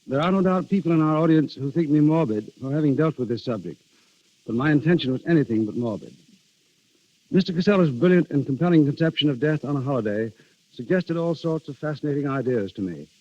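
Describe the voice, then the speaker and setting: Male radio guest, slightly high, mellow tone, formal, measured, scripted, muffled 1930s broadcast. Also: animated. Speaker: guest playwright.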